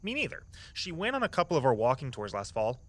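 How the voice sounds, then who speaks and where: A man acting as an easygoing young tour guide in an audio drama. He sounds like a loyal friend, chatty and gently earnest, with a medium-pitched voice. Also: wildly swooping pitch, fast talker. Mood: casual. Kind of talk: naturalistic audio drama dialogue.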